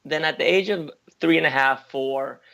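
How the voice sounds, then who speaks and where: A man born in the US and raised in Spain, answering interview questions in a thoughtful way, with many pauses and restarts. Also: fairly high pitch, vocal fry, fairly bright tone, low-quality recording. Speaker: interview guest.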